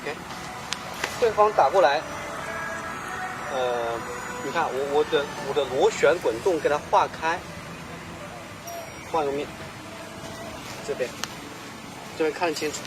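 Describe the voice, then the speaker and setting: A man's voice: fairly high pitch, slow, long pauses. A man demonstrating martial arts self-defense, emphatic and asking rhetorical questions. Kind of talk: demonstration explanation. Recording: outdoor clip with traffic noise.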